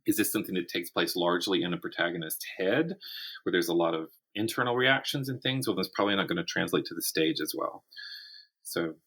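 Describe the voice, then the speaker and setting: A man's voice: deep voice, fairly quick, fairly bright tone, breathy edge. A man giving a conversational interview as a guest writer, explaining his craft and thinking aloud as he goes. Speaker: guest author and audio drama writer.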